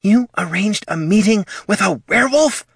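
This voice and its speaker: A man's voice: high voice, big pitch swings, normal speed, no echo, studio quality, pitched above the performer's own voice. A man voicing a fussy, anxious, proper little businessman in a fantasy audiobook, prim and wordy. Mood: flustered.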